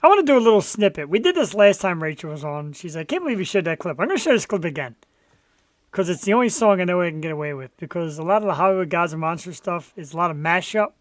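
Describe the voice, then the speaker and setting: A man co-hosting a casual live talk show, chatty and quick to laugh. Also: sits high, expressive, dry recording. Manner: chatty, laughs often, hypes the guest. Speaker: co-host.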